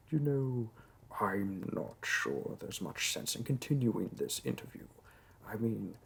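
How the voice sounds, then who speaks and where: A man voicing a demon interviewer in a roleplay audio drama, dry and condescending with mock sympathy, in a low gravelly voice. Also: big pitch swings, takes it slowly, a bit bright, exaggerated voice. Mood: condescending pity. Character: bureaucratic male demon interviewer, disappointed, politely wicked.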